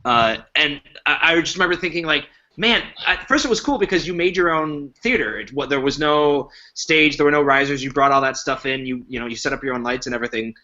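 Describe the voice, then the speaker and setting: A man's voice: on the high side, brisk, hardly any pauses, pushed and bright, highs cut off. A man chatting informally and enthusiastically on a podcast. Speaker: podcast guest, improv festival organizer. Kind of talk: casual podcast discussion.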